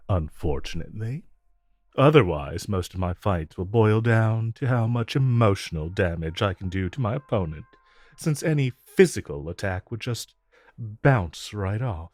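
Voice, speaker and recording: A smug, flirtatious supervillain played by a man in a romantic audio drama, with a low voice and an unhurried, coaxing delivery. He teases as he talks, stretching out his phrases. The voice is close and dry over music. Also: a little mellow, no room sound. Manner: teasing, coaxing, drawn-out phrasing. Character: flirtatious, smug infamous supervillain, a teasing regular customer. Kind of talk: romantic audio roleplay, addressed to listener.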